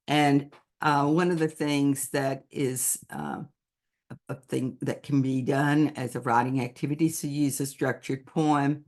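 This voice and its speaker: A woman presents to teachers over a computer microphone, in the unhurried manner of someone thinking as she goes. She speaks at a slow pace and keeps her delivery measured and explanatory. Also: extremely low pitch. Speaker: picture book author presenting to teachers. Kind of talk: online presentation to educators.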